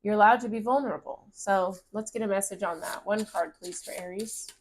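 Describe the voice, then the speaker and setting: A woman giving a casual tarot reading to camera, speaking gently and encouragingly, and her voice is turning raspy. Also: medium pitch, a little fast, a little hiss or hum. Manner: gentle, encouraging, reads card text aloud. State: voice getting raspy. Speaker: tarot reader.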